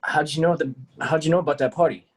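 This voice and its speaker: Man as a husky, earnest teenage boy. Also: on the high side, quick pace, close to an everyday voice. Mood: nervous, earnest. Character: nervous, earnest religious male high school student. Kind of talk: table read of a film script.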